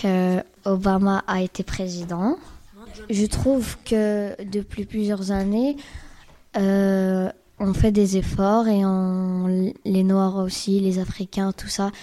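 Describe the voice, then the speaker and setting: A woman's voice: medium pitch, flat delivery, takes it slowly, dark tone. A female participant talking casually in a youth radio debate.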